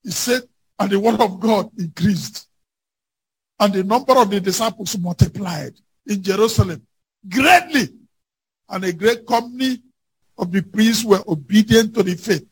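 High-pitched, gravelly man preaching. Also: a little slow, long pauses, low-quality recording. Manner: emphatic, declamatory, often raising voice to a shout. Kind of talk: fervent sermon with scripture readings.

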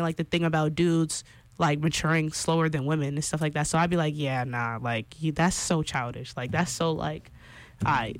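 A woman's voice: low-pitched, dry recording. Chatty young woman radio host, dry sound. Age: 20s. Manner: animated, chatty, opinionated, lots of fillers. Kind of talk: casual radio talk segment.

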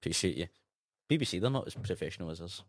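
A young Scottish man with a Gaelic background, co-hosting a casual radio chat in a relaxed, easygoing way. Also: low voice, very fast, no echo, clean studio sound. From Scotland. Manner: relaxed, laid-back, often tags sentences with "man". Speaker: radio co-host.

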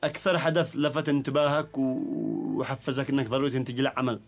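A man's voice: mid-range voice, steady tone, quick pace, soft background music. A man with a Yemeni accent hosting a conversational arts interview. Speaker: interview show host.

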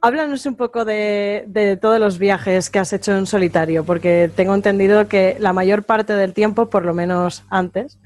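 A woman's voice: medium pitch, fairly even intonation, normal speed, hardly any pauses, darkish tone, dry recording. A woman hosting a conversational interview, putting questions to her guest and commenting on the answers. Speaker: interviewer, host.

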